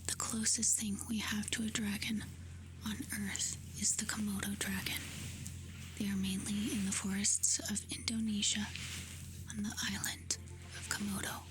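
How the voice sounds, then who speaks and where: A woman doing soft-spoken ASMR, reading facts aloud in a hushed, soothing, breathy whisper. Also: mid-range voice, little pitch movement, low-quality recording. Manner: slow, hushed, soothing delivery with short phrases. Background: music.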